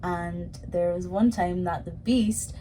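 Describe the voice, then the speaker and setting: A woman's voice: lowish voice, steady tone, slow, crowd noise, rough recording. A woman who performs in pantomime, answering questions in a casual, chatty way.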